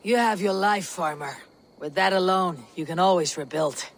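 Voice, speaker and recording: An actress playing a stern, confident Viking warrior leader in a video game, speaking in a measured, commanding way. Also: female voice, lowish voice. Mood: calm, assured. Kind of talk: video game cutscene acting.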